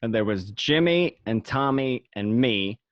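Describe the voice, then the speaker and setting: A man's voice: medium pitch, lively intonation, a little slow, no room sound, lightly acted. Guy acting a boastful mobster telling his story, radio play, dry kinda muffled home audio. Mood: nostalgic. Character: cocky gangster narrating his life of crime. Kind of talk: amateur radio play monologue narration. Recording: dry, dull home recording.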